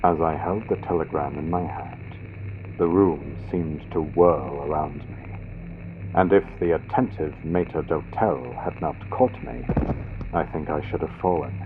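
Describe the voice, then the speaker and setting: A man's voice: very deep voice, measured pace, phone-quality sound, slight background noise. A man narrating a gothic story as a dramatic scripted audiobook, with a vintage radio sound from a built-in computer microphone.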